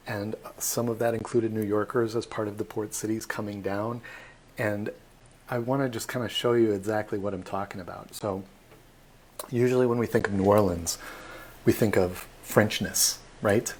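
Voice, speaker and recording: A man working as a tour guide, giving a chatty, animated talk during a live online tour. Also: lowish voice, a little hiss or hum.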